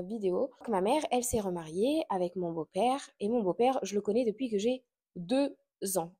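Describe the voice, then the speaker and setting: A woman in her late twenties, originally from Portugal, talks straight to her listeners in a relaxed, confiding podcast chat. Her voice is mellow and warm, sitting at a middle pitch. She fills her sentences with little hesitations and soft laughs. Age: late 20s. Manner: chatty and confiding, frequent fillers and little laughs. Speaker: podcast host. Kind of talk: casual personal chat to camera.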